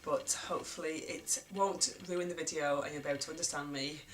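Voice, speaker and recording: A young woman with a croaky voice from a cold, talking gently and reflectively to camera in a casual vlog. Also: low voice, fairly even intonation, a little fast, a little hiss or hum, poor audio. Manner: gentle, reflective, repeats herself. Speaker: parenting vlogger.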